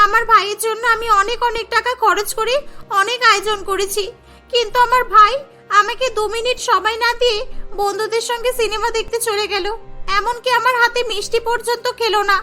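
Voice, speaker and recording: Woman doing a showy rich cartoon lady, super high bright voice, emphatic, music behind. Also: little pitch movement, a little fast. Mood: remorseful, tearful. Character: boastful rich young woman, doting on her brother. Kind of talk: melodramatic cartoon story acting.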